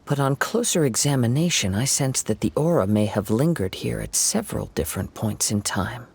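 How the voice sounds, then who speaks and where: A man voicing a calm, sharp young detective in a game, laying out his reasoning in a composed, analytical way. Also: mid-range voice, normal speed, vocal fry, slight background noise.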